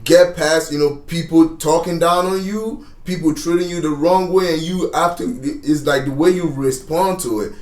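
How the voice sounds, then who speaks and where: A man's voice: on the high side, normal speed, hardly any pauses, darkish tone, reverberant. A man co-hosting a reaction video, talking emphatically in casual slang. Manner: emphatic, slangy, repeats bro.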